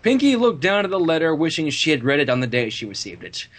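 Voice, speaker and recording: A story reader narrating fan fiction aloud with very animated intonation. The voice is mid-pitched and husky, expressive through every sentence. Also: fast talker.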